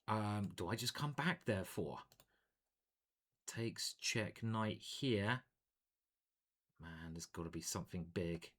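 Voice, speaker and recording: A man's voice: mid-range voice, fairly bright tone. A man, a chess grandmaster, casually commentating his own online games in a playful, chatty way. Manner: playful and chatty, hums and sings little jingles.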